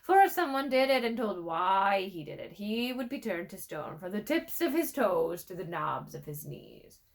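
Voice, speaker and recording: A morose storybook raven, voiced by a woman reading aloud, foretelling doom with gloomy deliberation. The delivery is ominous and fatalistic, with expressive rises and falls. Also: medium pitch, normal speed.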